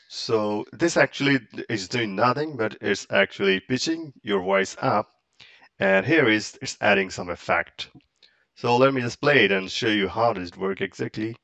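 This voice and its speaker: Man, low pitch, dry close recording, halting tutorial delivery. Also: fairly bright tone, no echo, lo-fi sound. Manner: halting, step-by-step, non-native English phrasing. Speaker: tutorial presenter. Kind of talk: software tutorial, casual explanation. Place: close, dry indoor voice-over for a screen recording.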